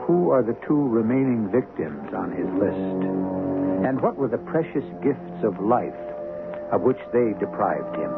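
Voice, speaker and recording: Gravelly American radio mystery host. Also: male voice, lowish voice. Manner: solemn, measured, rhetorical. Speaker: host and narrator. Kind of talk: dramatic scripted radio narration. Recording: tinny vintage radio broadcast, music behind.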